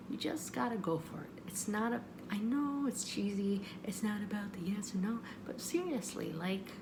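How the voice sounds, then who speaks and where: Woman, casual vlogger, creaky voice, chatty storytelling, animated quoting, noticeable background noise. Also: fairly high pitch. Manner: chatty, animated retelling, acting out quotes.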